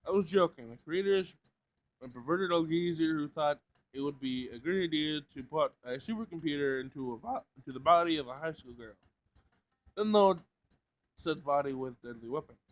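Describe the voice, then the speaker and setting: Literal-minded schoolgirl android for a visual novel, formal, deadpan delivery, slightly high for a male read man acts it out. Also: normal speed, close to an everyday voice, low-quality recording. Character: blunt, literal-minded robot in a high school girl's body.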